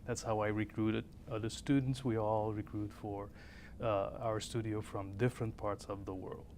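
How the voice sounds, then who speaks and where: A man's voice: on the low side, flat delivery, normal speed, darkish tone, a little hiss or hum. A man answering interview questions as a viola professor and coach.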